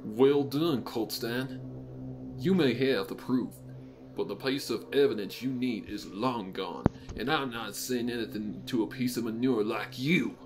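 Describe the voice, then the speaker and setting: A man's voice: mid-range voice, measured pace, lightly acted. Man playing a scornful, defiant pony sheriff, music behind.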